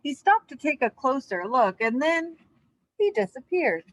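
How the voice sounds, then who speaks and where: A woman reading a children's story aloud, expressive and speaking to young listeners as a storyteller. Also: high-pitched, a little slow. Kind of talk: animated children's read-aloud.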